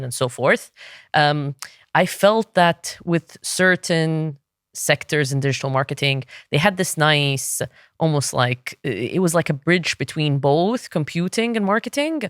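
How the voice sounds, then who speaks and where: A woman in her thirties from Egypt whose first language is Arabic speaks as a guest in a relaxed interview. Her voice is smooth and clear, and she often restarts her sentences. The sound is dry and close, with no room echo. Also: low-pitched. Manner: thoughtful, frequent restarts and fillers. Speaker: podcast guest, SEO consultant. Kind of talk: casual interview talk.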